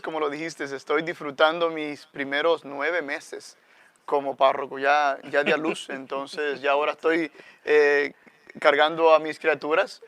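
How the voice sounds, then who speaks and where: A Salvadoran man speaks as a guest priest in a conversational teaching style. His voice sits a little high and is smooth and clear, in a dry recording. He reflects as he goes, adding short questioning tags. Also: fairly bright tone, no echo. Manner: reflective, often adds a questioning "¿no?".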